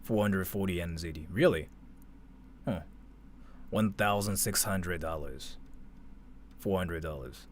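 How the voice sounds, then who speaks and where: A man's voice: deep voice, unhurried, long pauses, slight background noise. A man giving casual, off-the-cuff reaction commentary as a livestream host, rambling conversationally and leaning on filler words like "like".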